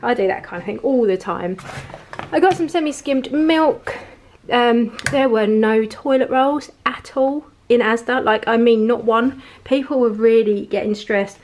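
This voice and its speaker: A woman's voice: fairly high pitch, unhurried, a little mellow. A woman in a casual, rambling grocery haul chat, laughing now and then while showing off her shopping at home. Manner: chatty, rambling, laughs often. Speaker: vlogger. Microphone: camera pointed at the table, speaker off screen.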